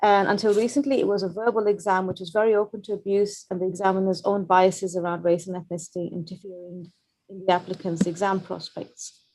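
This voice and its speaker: A woman's voice: medium pitch, flat delivery, darkish tone, poor audio. Earnest woman speaking on a webinar. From Pakistan. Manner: earnest, measured, reflective. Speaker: journalist, panel speaker. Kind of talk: prepared webinar talk.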